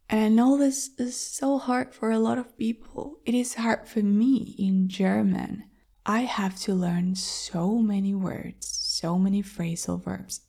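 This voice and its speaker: A woman's voice: medium pitch, creaky, mellow. A young woman hosting a podcast, giving a language-learning lesson and patiently explaining tips, often asking listeners rhetorical questions. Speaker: podcast host and language teacher.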